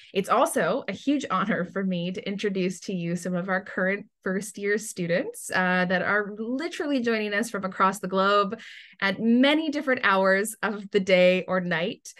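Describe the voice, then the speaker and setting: A woman's voice: mid-range voice, fairly bright tone. A woman hosting a webinar in an informal, chatty, slightly hesitant way. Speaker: panel host, admissions committee staffer. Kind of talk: hosting an online webinar, casual introductions.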